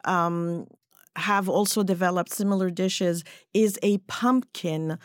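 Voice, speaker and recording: A woman's voice: on the low side, dry recording, clean studio sound. A woman who grew up in Switzerland and speaks English as a second language, French being her first, talking thoughtfully as a podcast guest. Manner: thoughtful, measured, with pauses and fillers. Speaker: guest, cookbook writer. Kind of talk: podcast interview.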